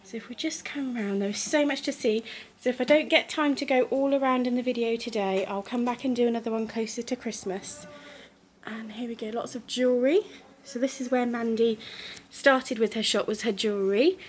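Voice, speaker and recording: A woman's voice: on the high side, steady tone, brisk. An enthusiastic English woman giving a walking tour of a small gift shop, her voice slightly muffled by a face mask, filmed on a handheld phone. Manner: enthusiastic, gushing praise. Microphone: phone camera held while walking.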